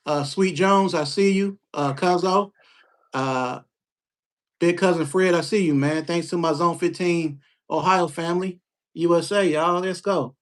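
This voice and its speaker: Male podcast host, relaxed and slangy, a bit high in pitch. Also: a little slow. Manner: laid-back, slangy, frequent shout-outs. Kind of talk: casual interview chat.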